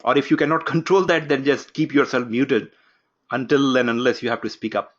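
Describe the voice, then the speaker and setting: A man opening an online course from his home office, giving instructions briskly and calling on participants one by one. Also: medium pitch, steady tone, a bit bright, lo-fi sound. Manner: brisk, instructive, takes roll call. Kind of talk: live online class introduction. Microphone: video call.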